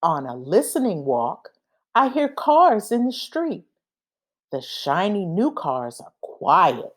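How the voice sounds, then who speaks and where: A woman reads a children's storybook aloud at a very slow, careful pace. Her delivery is expressive and highly varied in pitch, with each phrase set apart deliberately for young listeners. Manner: deliberate, expressive, acts out sound effects. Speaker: read-aloud reader. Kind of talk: children's picture book read-aloud.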